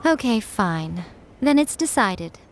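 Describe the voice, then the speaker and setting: An actress playing a poised, sly shrine priestess in a video game, teasing and smoothly condescending. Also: female voice, mid-range voice, sing-song, takes it slowly, noisy background. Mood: coy, teasing.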